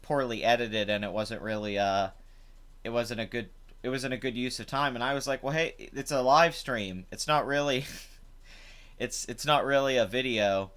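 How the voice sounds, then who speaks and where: Host type: young guy, chatty and rambling, voice rough from the night before, resonant tone. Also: medium pitch, normal speed, fairly bright tone. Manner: rambling, chatty, many fillers. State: rough voice after drinking. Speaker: live stream host. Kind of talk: casual live stream commentary. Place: home.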